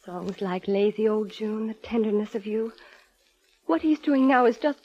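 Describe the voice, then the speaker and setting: An actress playing an anxious, halting, earnest woman seeking help for her brother in a 1950s radio drama. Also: medium pitch, lightly acted. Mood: anxious, pleading. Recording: dull vintage radio recording.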